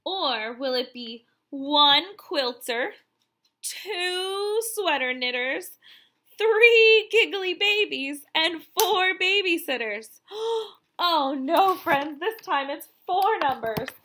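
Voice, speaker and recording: A woman in her thirties reading a picture book aloud to young children as a counting lesson, in an animated, wondering manner full of questions. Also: extremely high pitch, takes it slowly, a little hiss or hum.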